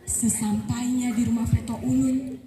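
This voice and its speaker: A teenage girl from eastern Indonesia telling a folktale in a slow, careful, sing-song storytelling voice. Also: a little high, level tone, mellow, far from the mic. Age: teens. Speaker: student storyteller. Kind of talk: folktale narration. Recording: echoey room, voice set back from the mic. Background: music playing behind the voice.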